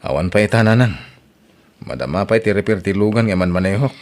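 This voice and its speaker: A man's voice: on the low side, animated, quick pace, darkish tone, natural voice. A male actor playing a troubled, self-pitying husband who drinks, in an Ilocano radio drama, speaking with an Ilocano accent. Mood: dejected.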